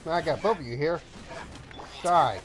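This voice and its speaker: A man giving casual commentary on his gameplay, muttering to himself with sudden loud outbursts. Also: fairly high pitch, normal speed. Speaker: gamer.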